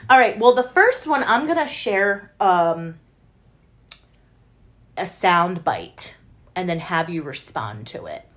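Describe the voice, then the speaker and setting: A woman's voice: on the low side, sing-song, takes it slowly, long pauses, fairly bright tone. A woman co-hosting a podcast in a casual, playful, exclamatory way. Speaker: podcast co-host. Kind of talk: casual chat.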